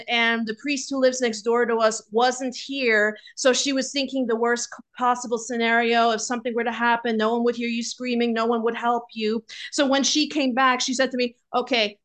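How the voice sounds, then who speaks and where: A woman, a nun joining by video call, telling a story casually with many fillers and an animated manner. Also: a little high, normal speed. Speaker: guest nun.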